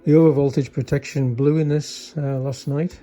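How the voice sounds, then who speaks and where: Mellow-voiced man giving a casual technical demonstration. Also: fairly high pitch, steady tone, normal speed. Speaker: electronics hobbyist demonstrating a repaired vintage car radio.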